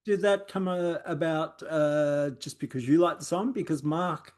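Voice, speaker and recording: A man's voice: on the high side, a little slow, darkish tone. Easygoing Australian male interviewer. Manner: easygoing, hesitant with fillers. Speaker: interviewer, host. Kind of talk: casual interview questions.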